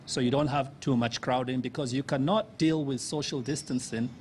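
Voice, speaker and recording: A man speaking as an official at a press briefing, explaining deliberately. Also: medium pitch, fairly even intonation, a little hiss or hum. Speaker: government official. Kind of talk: press statement.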